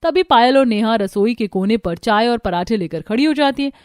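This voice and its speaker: A woman's voice: on the high side, animated, moderate pace, no room sound, clean studio sound. A woman storyteller reading scripted narration for a cartoon tale.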